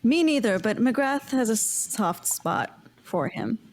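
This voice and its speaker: A woman's voice: fairly high pitch, sing-song, takes it slowly, darkish tone, a little hiss or hum. A woman chatting casually as an interview guest. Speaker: cast member interviewee. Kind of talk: casual chat.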